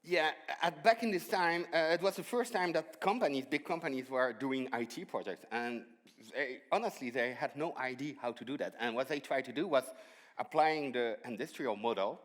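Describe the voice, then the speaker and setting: A middle-aged man with a blended French and Dutch accent co-hosts a conference talk. He speaks at an ordinary pitch, chiming in casually with jokes, on a large stage. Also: normal speed. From France and the Netherlands. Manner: casual, jokey interjections.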